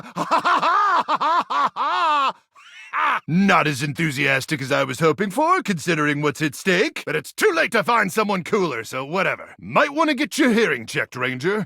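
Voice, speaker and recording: An actor playing a cocky, goofy post-apocalyptic soldier in a video game, with a gravelly, raspy voice, bombastic bravado and deadpan asides. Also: male voice, high-pitched, no echo, clean studio sound, exaggerated voice. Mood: rousing, grandiose, then deflated, offhand. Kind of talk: exaggerated video game character acting.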